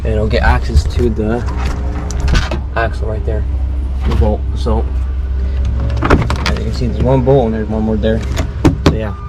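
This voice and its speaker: Hoarse male car vlogger, casual and upbeat, recorded outdoors on camera with steady background noise. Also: fairly low pitch. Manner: chatty, encouraging, halting phrasing. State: raspy from feeling unwell. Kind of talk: casual talk while working on a car.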